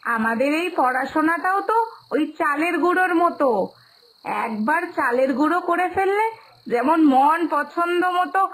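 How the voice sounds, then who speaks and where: A woman's voice: sits high, fairly bright tone, rough recording. A woman voicing a doting, hard-working village mother in a cartoon moral story, with a coaxing, explanatory manner that repeats phrases. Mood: proud, tender.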